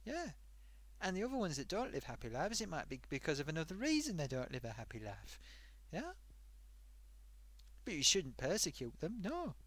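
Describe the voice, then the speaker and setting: A man's voice: on the high side, huge pitch swings, normal speed, long pauses, a bit bright, no echo, exaggerated voice. A man voicing a chatty sock puppet host who rambles off topic and talks to viewers, his throat getting sore. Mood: rambling. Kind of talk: rambling comedic live-stream monologue.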